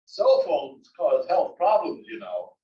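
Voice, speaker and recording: A man's voice: a little high, big pitch swings, slow pace. A man playing a grumpy, fussy aging husband with a dry, clipped manner in a comedy table read. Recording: muffled, echoey room, distant mic.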